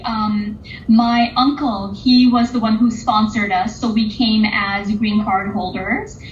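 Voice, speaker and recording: A woman who grew up between England and Oman and later the United States, chatting casually as an interview guest. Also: fairly high pitch. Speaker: interviewed artist. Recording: roomy, dull, lo-fi audio with faint noise.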